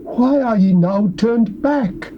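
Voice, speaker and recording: A man's voice: extremely high pitch, animated, slow, exaggerated voice. An actor playing a sick, anxious male king who questions his messengers in a dramatized scripture recording. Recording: dull tape with hiss.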